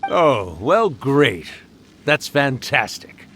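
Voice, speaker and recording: A man's voice: mid-range voice, big pitch swings, very slow, fairly bright tone, slight background noise, heavily acted. A man voicing a genial, wry, centuries-old yokai painter in a video game, with a theatrical, fondly teasing manner. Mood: tender.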